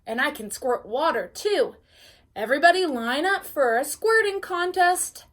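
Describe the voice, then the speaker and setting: A woman's voice: high voice, expressive, unhurried, fairly bright tone, pitched above the performer's own voice. A woman voicing a bossy, boastful schoolgirl who teases her classmates in a children's storybook. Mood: showing off. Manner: boastful, teasing.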